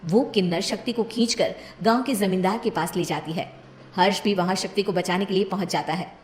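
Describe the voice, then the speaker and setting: A woman's voice: mid-range voice, fairly quick, echoey room, faint music behind. A woman reading a scripted cartoon moral story as its narrator.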